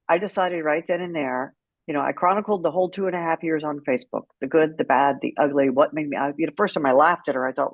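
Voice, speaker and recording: A woman's voice: sits low, no echo, highs cut off. A woman speaking as an interview guest, telling her story in a candid, outspoken and emphatic way. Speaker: guest, author and caregiver advocate.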